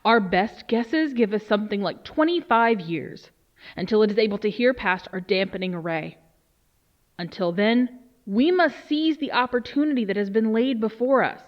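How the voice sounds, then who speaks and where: A woman playing a grave research official, delivering a solemn, measured dramatic briefing. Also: fairly high pitch, expressive, lo-fi sound, close to an everyday voice. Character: grave female research official briefing on a doomsday alien threat. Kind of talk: dramatic scripted monologue.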